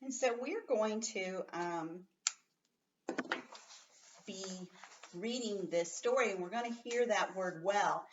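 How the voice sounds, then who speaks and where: Slightly muffled woman teacher, expressive reader. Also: mid-range voice, far from the mic, noisy background. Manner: expressive, emphatic, slows right down on story words; patient, simple step-by-step explaining. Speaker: teacher reading a story aloud to young pupils. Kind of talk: read-aloud lesson with explanations for children.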